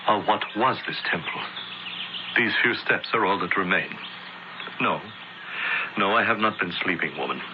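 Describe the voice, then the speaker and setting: An actor playing a proud, zealous Egyptian high priest in a radio drama, speaking in archaic, oratorical phrases. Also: male voice, normal speed, pauses often, natural voice. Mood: startled, haughty. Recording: muffled, noisy old radio broadcast, echoey shouting.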